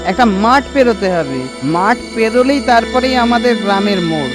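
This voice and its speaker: A man's voice: high-pitched, expressive, moderate pace, music in the background. A man playing a young village lad in a cartoon horror story. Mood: confident, brisk.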